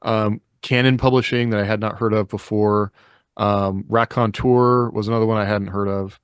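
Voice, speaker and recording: A man's voice: lowish voice, fairly even intonation, normal speed, clean tone, no room sound, clean studio sound. A man co-hosting a podcast in casual, conversational discussion. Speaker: podcast co-host.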